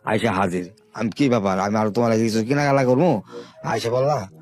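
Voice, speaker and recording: A man's voice: on the low side, fairly even intonation, darkish tone. Older man rambling through his story. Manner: rambling, repetitive, halting storytelling. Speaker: guest telling his life story. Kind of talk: informal spoken life story.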